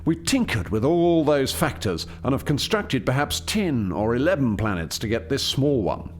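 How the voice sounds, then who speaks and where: A man's voice: mid-range voice, sing-song, normal speed, slight background noise, lightly acted. An actor playing an eager, proud alien scientist who formally explains his experiment in a radio play. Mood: explanatory. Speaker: lead scientist presenting a project. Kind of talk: radio play dialogue, expository.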